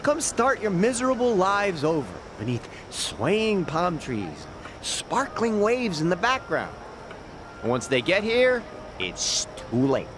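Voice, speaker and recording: A man's voice: high voice, huge pitch swings, slow pace, background noise, full character voice. An actor playing a cynical, wry, streetwise local man in an English-dubbed video game, chatty and given to sardonic explanations.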